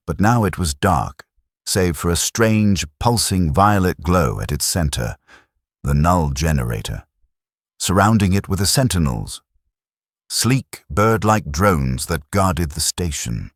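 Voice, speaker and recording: Super deep husky guy reading a sci-fi audiobook, dramatic, dry close sound. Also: no room sound. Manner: dramatic storytelling delivery. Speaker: audiobook narrator. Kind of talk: scripted sci-fi narration.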